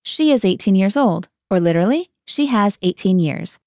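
A woman's voice: mid-range voice, animated, normal speed, dark tone, dry recording, clean studio sound. A woman hosting a scripted language lesson, guiding the learner and translating each phrase into English.

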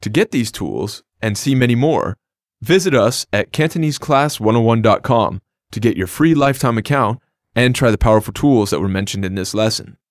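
Male co-host for a language lesson podcast, upbeat and clear, dry studio sound, mid-range voice. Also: mid-range voice, lively intonation, normal speed, no room sound.

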